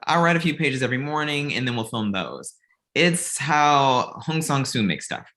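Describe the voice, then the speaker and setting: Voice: mid-range voice, animated, normal speed, a bit bright. An actor playing a glib, self-absorbed amateur male film director in a comedy table read, breezy and confident.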